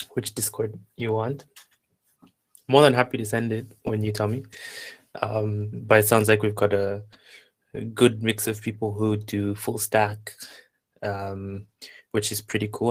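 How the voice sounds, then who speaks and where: A man from Zambia hosting a live online workshop, chatting casually and haltingly as he reads out attendees' replies. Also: on the low side. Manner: unhurried, halting, reading out chat replies. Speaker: workshop instructor and host.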